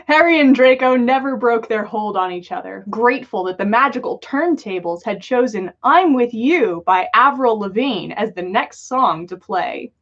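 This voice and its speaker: A playful, giggly woman hosting a livestream, reading stories aloud and chatting casually. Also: on the high side. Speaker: podcast host reading listeners' stories aloud. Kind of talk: storytelling read-aloud with casual chat on a livestream. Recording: dull lo-fi livestream audio.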